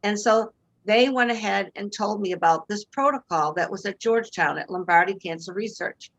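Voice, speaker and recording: A woman's voice: mid-range voice, moderate pace. A woman in her sixties with a Boston accent, a guest on a video call telling her story with earnest faith. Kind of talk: personal testimony in interview. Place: home room indoors. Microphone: video call webcam.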